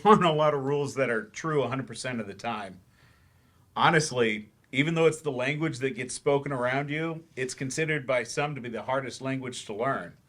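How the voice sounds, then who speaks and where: Older male teacher, played by a man, patient and encouraging, mid-pitched, explains gently, stage-play reading. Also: close to an everyday voice.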